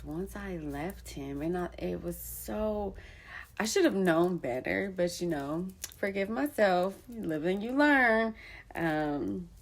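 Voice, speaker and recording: A young woman speaks slowly and candidly in a rambling monologue, full of fillers. Her voice sits fairly low, with a gravelly fry. Her pitch rises and falls a lot as she tells her story. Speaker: poet and storyteller vlogger.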